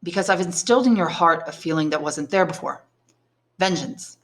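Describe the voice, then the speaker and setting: A learned old prisoner priest from a classic novel , read aloud by a woman. He speaks deliberately and shrewdly, weighing each point like a patient tutor, with a kindly wisdom beneath the probing. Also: lowish voice, expressive. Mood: gentle, regretful. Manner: deliberate, probing questions and measured explanations.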